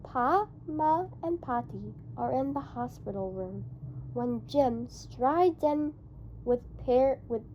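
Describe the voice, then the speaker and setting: A woman reading a novel in verse aloud as an amateur, with a halting delivery that stumbles and repeats phrases. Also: high-pitched, lively intonation, muffled recording, a little hiss or hum. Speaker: amateur book reader.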